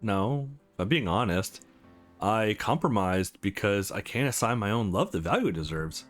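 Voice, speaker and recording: A man's voice: on the low side, animated, moderate pace, pitched below the performer's own voice, lightly acted. A man voicing awkward, wry young women who are rivals in love in a visual novel. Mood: wry, guarded. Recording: music under the voice.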